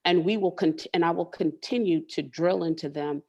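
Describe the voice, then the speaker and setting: Low-voiced woman explaining earnestly. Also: moderate pace, darkish tone. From Southern United States. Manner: earnest, explanatory, repeats words while thinking. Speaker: interview guest, tech leader and coach. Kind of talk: candid interview conversation.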